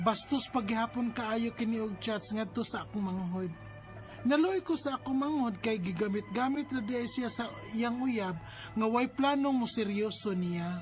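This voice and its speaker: A woman's voice: a little high, natural voice. A woman playing a worried, protective older sister with a Filipino accent in a radio drama, emphatic and exasperated.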